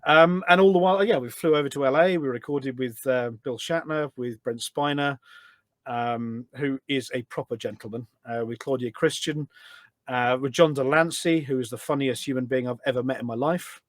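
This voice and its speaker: Guy on a podcast rambling away happily, keen storyteller, medium pitch, really dry sound. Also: normal speed, dry recording. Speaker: podcast guest, game voice pack producer. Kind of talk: casual chat, anecdotal.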